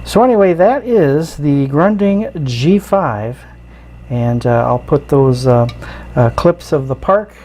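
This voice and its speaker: A man hosting a casual, unscripted hobby review, explaining features in a halting, easygoing way. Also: medium pitch, mellow, a little hiss or hum. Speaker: radio hobbyist host reviewing gear. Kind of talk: casual unscripted product walkthrough.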